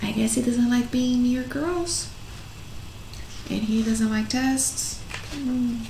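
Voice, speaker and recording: A woman hosting a children's read-aloud, making expressive asides with oohs, hmms and questions to her young listeners. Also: fairly high pitch, slow, gravelly. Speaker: storytime host reading a picture book to children. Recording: echoey room, faint noise.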